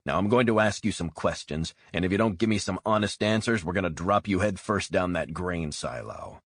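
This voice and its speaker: A man's voice: low voice, fairly bright tone, no echo, studio quality. A man playing a cold, menacing interrogator. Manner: cold, slow and threatening. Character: hard, menacing male interrogator.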